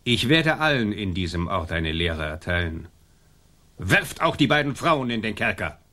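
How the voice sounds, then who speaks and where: An actor playing an imperious, vengeful colonial governor in a German radio drama, speaking with haughty command. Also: male voice, fairly low pitch, big pitch swings, moderate pace, bright, forceful tone.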